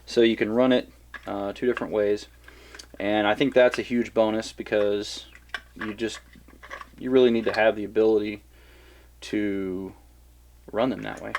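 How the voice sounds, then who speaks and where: Low-voiced man casually reviewing gear. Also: moderate pace, long pauses, a little hiss or hum. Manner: relaxed, rambling with many fillers and restarts.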